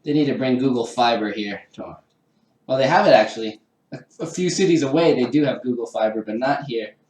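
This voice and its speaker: A man's voice: medium pitch, normal speed, a little mellow, echoey room. A man chatting casually with live-stream viewers while assembling a kit, laughing often and poking fun at himself. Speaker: livestreamer building a 3D printer kit. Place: home room with a garage downstairs.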